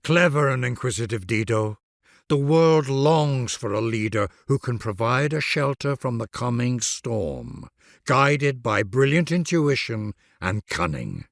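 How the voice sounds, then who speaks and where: A man's voice: medium pitch, expressive, measured pace, creaky, no echo, clean studio sound. A man reading grand scripted narration for a strategy game, addressing the listener in a formal, stately, dramatic way.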